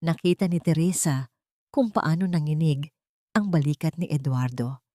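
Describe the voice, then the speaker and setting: A woman's voice: low-pitched, moderate pace, warm tone, no echo, studio quality. A woman narrating a scripted dramatic story as an audiobook reader.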